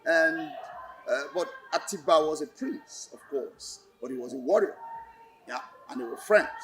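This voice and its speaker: A man with a Nigerian accent explaining in an interview, deliberate and halting, repeating phrases for emphasis. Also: mid-range voice, a bit bright, slight background noise, poor audio. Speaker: lecturer and play director interviewed.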